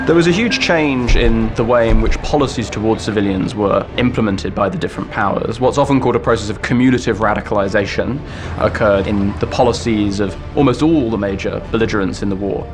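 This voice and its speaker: A man's voice: lowish voice, a little fast. A man with a formal, academic manner explaining history as in a documentary interview, over music.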